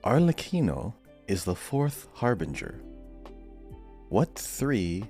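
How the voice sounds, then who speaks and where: A man's voice: on the low side, very animated, slow pace, darkish tone, faint music behind. A man hosting a podcast quiz, reading out questions and chatting casually.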